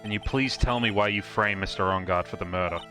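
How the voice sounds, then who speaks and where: A man's voice: lowish voice, brisk, over music, lightly acted. A man voicing an earnest but awkward, wryly crude defense lawyer in a comedic game fan dub. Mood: determined. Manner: hesitant, jokey, theatrical.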